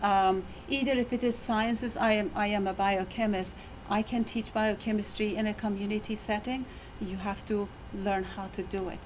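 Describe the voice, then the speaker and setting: A middle-aged woman with an Iranian accent gives a talk to fellow faculty in a university room, her voice tired after a long lecture. She speaks haltingly, with frequent fillers and restarts, at an ordinary pitch for a woman. Faint background noise sits under the recording. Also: steady tone, rough recording. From Iran. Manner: hesitant, many fillers and restarts, laughs often.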